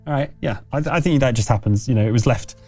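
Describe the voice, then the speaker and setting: A man's voice: medium pitch, fast, darkish tone, faint music behind. A man running a tabletop roleplaying game, narrating off the cuff and chatting casually, with hesitant, trailing phrasing and self-corrections. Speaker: game master.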